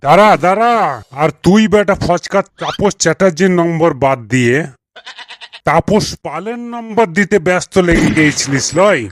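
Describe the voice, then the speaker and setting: A man's voice: sits high, expressive, moderate pace. Man acting an exasperated West Bengal village uncle. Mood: angry threatening. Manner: grumbling, sarcastic, scolding, coarse. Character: irritable, exasperated village uncle, scolding cheeky youngsters. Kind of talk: comedy cartoon dialogue.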